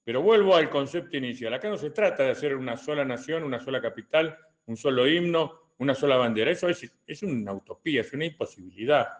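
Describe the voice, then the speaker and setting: Older male commentator, Argentine accent, mid-pitched voice; explains deliberately like a lecturer, repeating points for emphasis. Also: lively intonation. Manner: didactic, deliberate, emphatic with repetition. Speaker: retired colonel and political commentator. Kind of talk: spoken political commentary answering listener questions.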